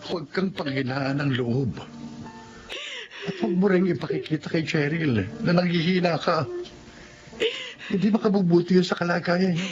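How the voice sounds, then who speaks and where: A man's voice: a little high, normal speed, long pauses, natural voice. An actor playing a caring, steadfast husband and worried father in a Filipino radio drama, earnest, with a Filipino accent. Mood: reassuring. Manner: earnest, reassuring. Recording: dull lo-fi audio under loud music.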